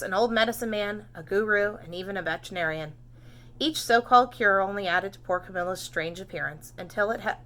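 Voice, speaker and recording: Female storybook narrator, teacher type: bright tone, animated emphasis, pitched for young children. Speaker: teacher reading aloud.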